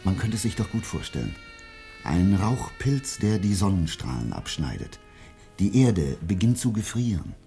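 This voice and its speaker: An actor playing an earnest, driven scientific investigator in a German radio play, with an intense and deliberate dramatic delivery. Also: male voice, low-pitched, big pitch swings, creaky, warm tone, faint music behind, full character voice. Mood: grave, intense. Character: earnest, driven male scientific investigator uncovering a dark secret.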